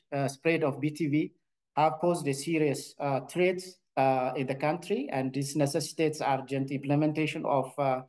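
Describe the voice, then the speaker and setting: A man with an Ethiopian accent delivers a scientific conference talk, his voice sitting a little higher than most men's. He moves through his slides haltingly, filling gaps with frequent pauses and restarting phrases. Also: a little mellow. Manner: hesitant, many filled pauses and restarts. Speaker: veterinary researcher presenting. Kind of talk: conference presentation.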